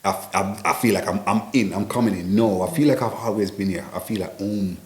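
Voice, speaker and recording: A man with a Jamaican accent, a guest in a casual interview, talking in a relaxed, chatty way and often checking in with his listener. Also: on the low side, fast, roomy sound. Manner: chatty, relaxed, peppers talk with "you know what I mean". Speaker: interview guest, business owner in Ghana.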